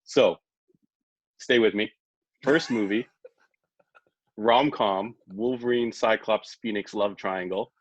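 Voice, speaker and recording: A man on a podcast panel casually pitching ideas with a jokey manner, pushing on through interruptions. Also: slow, pauses often, dry recording, low-quality recording.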